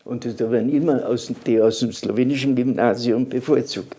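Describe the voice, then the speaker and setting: Gravelly old Carinthian man. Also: mid-range voice, warm tone. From Carinthia, Austria. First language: Slovene. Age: 80s. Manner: halting, with restarts and fillers. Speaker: interviewee. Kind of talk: reminiscing interview.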